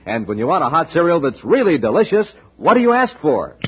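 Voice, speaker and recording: A male announcer pitching a sponsor on a 1950s children's radio serial in a punchy, emphatic style. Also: big pitch swings, brisk, breathy edge. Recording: muffled, noisy 1950s radio recording.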